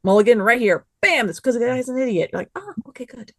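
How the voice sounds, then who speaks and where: A woman's voice: on the high side, lively intonation, fast, dry recording, studio quality. A woman in casual review chat as a podcast panelist, acting out imagined remarks as she talks.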